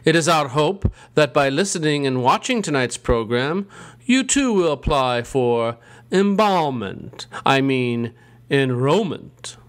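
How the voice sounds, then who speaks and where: A man playing a ghoulish, gleefully punning college dean who hosts a campy horror show with theatrical, drawn-out delivery. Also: mid-range voice, expressive. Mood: gleefully macabre.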